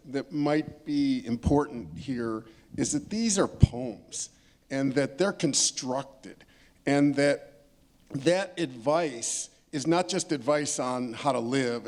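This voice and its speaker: A man's voice: medium pitch, a little mellow. Man giving emphatic literary commentary. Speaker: panelist speaking about poetry. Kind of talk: literary commentary at a panel discussion.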